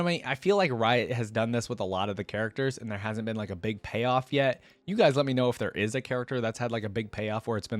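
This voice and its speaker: A man's voice: mid-range voice, no echo. A man giving casual, chatty reaction commentary as the host of a video. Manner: informal, chatty. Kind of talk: casual reaction commentary and story reading aloud.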